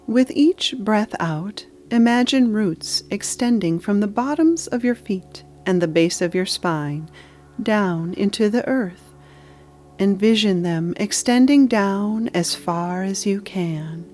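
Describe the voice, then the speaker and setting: A woman narrating a guided meditation in a soothing, measured manner with deliberate pauses. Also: medium pitch, lively intonation, darkish tone.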